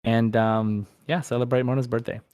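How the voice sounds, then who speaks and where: A man hosts a casual gaming podcast in a mid-pitched voice. He talks like an enthusiastic fan, informal and chatty. The sound is dry, with hardly any room in it. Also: steady tone, moderate pace, darkish tone, no room sound. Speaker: podcast host.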